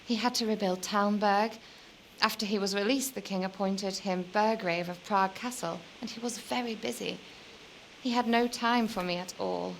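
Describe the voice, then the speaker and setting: An actress playing a weary, lonely young medieval noblewoman in a video game, speaking in a measured, formal and wistful way. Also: mid-range voice, steady tone, gravelly, a little hiss or hum, close to an everyday voice, rough recording. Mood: bitter, resigned. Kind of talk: dramatic video game dialogue.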